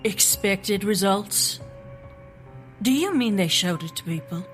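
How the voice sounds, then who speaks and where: An actress playing a sharp, skeptical government agent in an audio drama, asking blunt, incredulous questions. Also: female voice, medium pitch, lively intonation, takes it slowly, soft background music. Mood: shocked, disapproving. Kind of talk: naturalistic audio drama acting.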